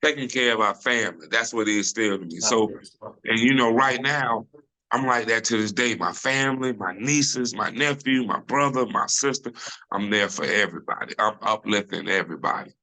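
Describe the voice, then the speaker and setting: A middle-aged man with a deep voice, a comedian guest talking casually and loosely in an interview over a video call, full of "you know" and restarts. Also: moderate pace, low-quality recording. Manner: relaxed storyteller, many restarts and "you know".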